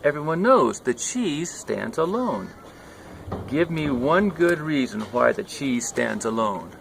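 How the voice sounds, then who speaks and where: An older man voicing a clever, bossy female cat in a storybook, accusing and gravelly. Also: on the high side, very animated, takes it slowly, background noise, pitched above the performer's own voice, full character voice. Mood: challenging. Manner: challenging, accusing.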